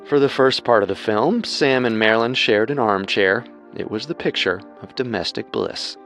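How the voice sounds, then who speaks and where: A man's voice: medium pitch, moderate pace, fairly bright tone. A man co-hosting a scripted true-crime show as narrator, with music underneath. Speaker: co-host and narrator.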